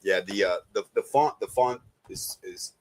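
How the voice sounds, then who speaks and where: A middle-aged man co-hosting a podcast, chatting casually in slang and handing out compliments and shout-outs. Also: deep voice, normal speed, no room sound. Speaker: podcast co-host.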